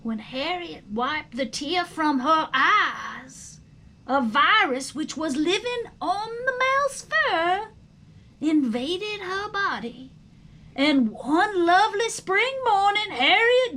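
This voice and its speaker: Slow, high-pitched woman reading a storybook. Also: lively intonation, bright, forceful tone. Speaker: storybook reader. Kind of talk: expressive, dramatic storybook narration.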